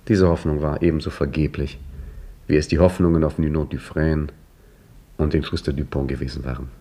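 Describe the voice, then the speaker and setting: An actor playing a middle-aged caretaker who narrates his own tale in a 1950s German radio drama, with a measured, wry manner. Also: a bass voice, darkish tone, husky, full character voice. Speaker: narrator and lead character. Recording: vintage 1950s radio play recording.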